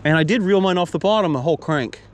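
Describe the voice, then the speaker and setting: A man chatting casually and muttering quietly while fishing from a kayak, recorded on an action camera out on the water. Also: a little high, fast talker. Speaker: fishing vlogger. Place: kayak on open water, outdoors. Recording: wind and water noise outdoors.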